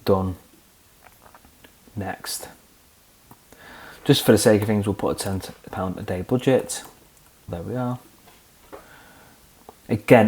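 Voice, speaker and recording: Man explaining clicks, low voice. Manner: relaxed, explains each click step by step. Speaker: tutorial host. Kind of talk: screen-recorded software walkthrough.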